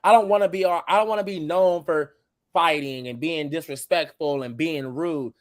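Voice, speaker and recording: A man in his twenties who grew up in the United States, a show guest talking in casual chat, blunt and calm while he cusses. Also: fairly high pitch, normal speed, no room sound, studio quality. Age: 20s.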